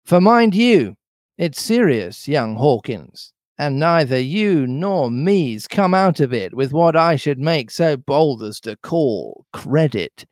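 Guy doing a wily old storybook sailor, jolly, slow salty dialect, highish voice. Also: big pitch swings, a little mellow, no room sound, clean studio sound. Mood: hearty, blustering. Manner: rustic nautical dialect, emphatic. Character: jovial, wily one-legged old sailor, ship's cook.

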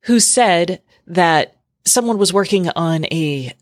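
Low-voiced woman chatting casually on a podcast. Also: animated, slow pace, dry recording, clean studio sound. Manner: chatty, informal, peppered with "like". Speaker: podcast host. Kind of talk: casual tech podcast chat.

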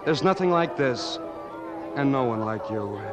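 A man's voice: medium pitch, expressive, measured pace, natural voice. A man acting a devoted, romantic young fiancé in a 1940s radio drama. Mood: tender. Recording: old radio drama under loud music.

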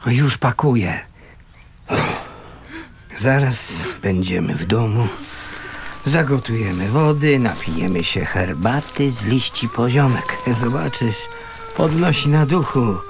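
Creaky-voiced, kindly, slow-talking scavenger. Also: male voice, medium pitch, lively intonation, a little hiss or hum. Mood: soothing. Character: kindly, poor male scavenger living in a shack. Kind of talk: Polish radio drama acting.

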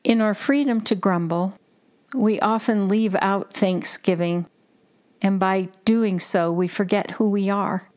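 A woman guiding contemplative prayer, reading in short, measured and deliberate phrases. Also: mid-range voice, a little mellow. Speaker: prayer guide and podcast host. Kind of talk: slow, repetitive guided contemplative prayer reading. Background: music under the voice.

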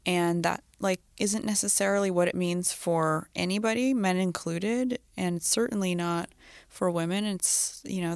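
A woman's voice: lowish voice, gravelly, no room sound, studio quality. A woman hosting an interview, speaking in an upbeat, casual way with frequent fillers. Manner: upbeat, casual, uses "like" often. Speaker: interviewer host.

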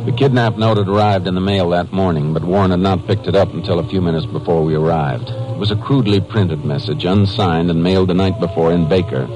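A man's voice: low voice, a little fast, hardly any pauses, music in the background, lightly acted. An actor playing a blunt, level-headed Texas Ranger who narrates his case in 1950s radio drama style. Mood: grave narration. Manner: blunt, authoritative, clipped. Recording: noisy old 1950s radio recording.

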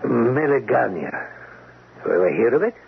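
Radio play role: avuncular older uncle, gravelly, animated pitch, gently teasing, tinny phone-like recording. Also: male voice, moderate pace, a little hiss or hum. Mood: guarded, gentle. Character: kindly, knowing older uncle, an Eastern European immigrant. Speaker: radio drama actor. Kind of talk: radio drama acting.

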